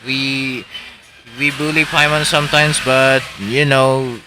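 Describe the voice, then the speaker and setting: A man's voice: mid-range voice, level tone, takes it slowly, background noise. A man chatting casually with friends while live streaming a video game, slangy and joking.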